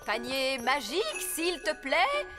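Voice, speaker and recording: A woman's voice: sits high, big pitch swings, takes it slowly, exaggerated voice. A woman voicing a bossy, short-tempered fairy nanny in a children's cartoon, haughty and theatrical. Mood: breezily confident. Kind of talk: French-dubbed children's cartoon acting. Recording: hall-like reverb with music behind.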